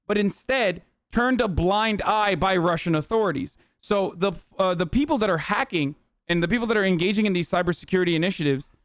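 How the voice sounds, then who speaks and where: A man talks political commentary on his stream, bluntly and with plenty of profanity and filler words. His voice sits somewhat high and sounds smooth and resonant. The sound is dry and close, with no room around it. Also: no echo. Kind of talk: livestream commentary reacting to clips.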